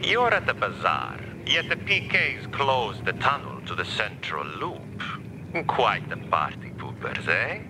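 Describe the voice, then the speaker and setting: A slick male arena recruiter from a video game talks with showy, mock courtesy. His voice is on the low side and swings playfully in pitch. Noisy ambience runs behind him. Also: huge pitch swings, a little slow, heavily acted. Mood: jaunty, teasing. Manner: showy, flippant.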